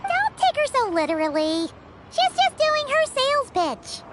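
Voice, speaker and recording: An actress voicing a small, chirpy female companion in a game, making quick asides. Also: extremely high pitch, sing-song, very noisy, exaggerated voice. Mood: teasing. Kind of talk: video game dialogue.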